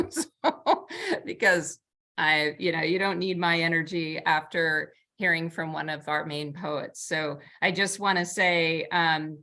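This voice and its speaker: A woman hosts an online community meeting, her speech casual and halting with frequent restarts. Her voice sits low but sounds bright and forward on the call. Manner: halting, many fillers and restarts. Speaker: host of a nonprofit community meeting. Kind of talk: casual hosting, wrapping up a meeting.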